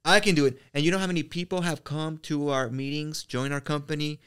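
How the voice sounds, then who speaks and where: A man from Mexico with a Mexican Spanish accent, an immigrant business owner telling stories as a podcast guest, animated, repeating words and using many fillers. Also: on the high side, fairly quick, clean tone. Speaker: real estate brokerage owner, guest. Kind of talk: casual podcast interview.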